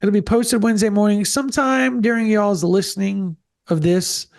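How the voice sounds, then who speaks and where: A man co-hosting a casual sports podcast, sounding nasal and stuffed up from allergies, with an animated, drawling way of talking. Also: sits high, normal speed, creaky, dry recording, studio quality. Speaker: podcast co-host.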